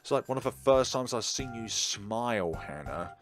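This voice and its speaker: A man's voice: medium pitch, lively intonation, moderate pace. A man doing let's play commentary, reading visual novel text aloud expressively and dropping in chatty asides. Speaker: gaming video host. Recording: music behind the voice. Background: game music.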